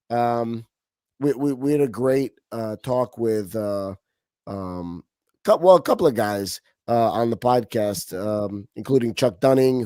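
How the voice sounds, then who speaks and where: A middle-aged man who grew up in Romania, speaking as a guest in a loose, animated interview. He restarts often and fills his pauses, and the recording sounds close and dry with no room around him. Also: medium pitch, no echo. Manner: animated, frequent restarts and fillers. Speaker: podcast guest. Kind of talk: casual interview talk.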